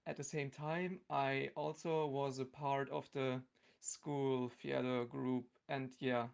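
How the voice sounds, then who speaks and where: A man's voice: medium pitch, flat delivery, slow, creaky, smooth, muffled recording. A young man with a German accent chats casually in English as a second language, hesitating and searching for words. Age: young adult. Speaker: podcast guest, amateur theatre group member. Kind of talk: casual interview chat.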